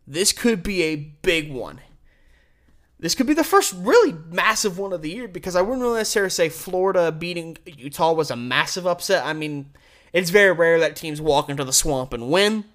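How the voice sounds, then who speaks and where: A man's voice: a little high, big pitch swings, moderate pace, fairly bright tone. A man with an American accent hosting a sports show, giving opinionated football picks and stressing key words emphatically. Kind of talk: college football picks commentary.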